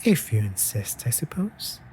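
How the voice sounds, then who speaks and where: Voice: mid-range voice, big pitch swings, measured pace, a little hiss or hum, poor audio. A performer playing a playful, affectionate raven familiar in an intimate comfort audio roleplay, with teasing, mock-offended delivery. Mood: drowsy, teasing. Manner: teasing, mock-offended, breaking into soft murmurs.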